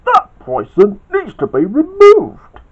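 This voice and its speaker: An amateur storyteller voicing an earnest, excitable male restaurant worker with emphatic, overwrought delivery. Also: medium pitch, slow, pitched above the performer's own voice, heavily acted. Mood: outraged yelling. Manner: emphatic, overwrought, stretched-out exclamations. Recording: dull, lo-fi home recording.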